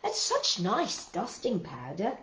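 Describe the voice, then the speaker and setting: A woman's voice: mid-range voice, huge pitch swings, takes it slowly, fairly bright tone, exaggerated voice. A woman voicing a cheerful, naive housemaid in a children's storybook, exclamatory and eager to please. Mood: naive pride. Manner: exclamatory, guileless. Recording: roomy echo.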